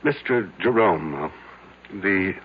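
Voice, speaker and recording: An actor playing a measured psychiatrist who questions a patient deliberately, in old-time radio drama style. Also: male voice, sits low, lively intonation, breathy edge, heavily acted. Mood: measured, probing. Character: measured, probing male psychiatrist hearing a patient out. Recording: dull vintage radio broadcast recording.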